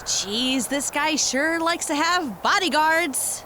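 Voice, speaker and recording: A woman playing a playful young sidekick spy in an audio drama, informal and expressive. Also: high voice, slow pace, background noise. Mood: game, casual.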